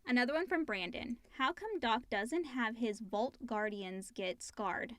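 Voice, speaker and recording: A woman's voice: on the high side, expressive, a little slow, fairly bright tone, no echo. A woman co-hosting a casual podcast, reading out listener questions and chatting about them.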